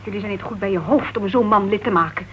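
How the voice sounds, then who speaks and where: A woman's voice: mid-range voice, fast, a bit bright, natural voice. An actress playing a prim, disapproving woman writer and club secretary, stern and moralising, in a Dutch radio play. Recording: dull, noisy old radio recording.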